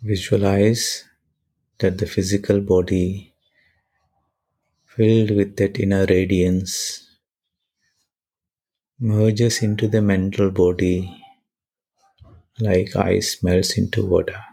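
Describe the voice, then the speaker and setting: A man leading a guided meditation and prayer in measured, deliberate short phrases with pauses between them. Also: deep voice, little pitch movement, vocal fry, warm tone, husky.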